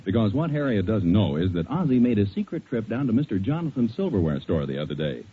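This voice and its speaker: A male American radio announcer giving an emphatic, polished 1940s scripted sales pitch. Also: big pitch swings, fast, breathy edge. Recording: thin, phone-like 1940s radio recording.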